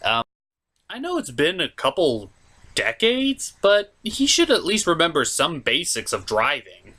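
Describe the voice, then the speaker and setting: This is a comedic film reviewer hosting a recap. The delivery is very animated and sarcastic, with pitch that swings widely from line to line. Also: measured pace. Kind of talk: comedic movie recap commentary.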